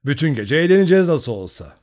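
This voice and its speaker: A man playing a loud, swaggering young Cossack. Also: mid-range voice, lively intonation, fast talker, natural voice. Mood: brisk cheerful.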